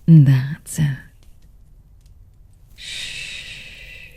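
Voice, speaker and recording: A woman playing a sadistic, seductive vampire mistress in an intimate roleplay, whispering in commanding, condescending, cooing endearments with a husky, breathy voice. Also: mellow, dry recording, full character voice. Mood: soothing, possessive. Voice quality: breathy, husky whisper with creaky low tones.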